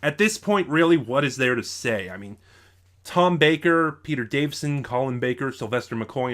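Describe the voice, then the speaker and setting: Man giving a halting off-the-cuff review. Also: medium pitch, a bit bright. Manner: halting, thinking aloud, often rephrasing mid-sentence. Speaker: YouTube audio drama reviewer. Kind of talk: unscripted review commentary.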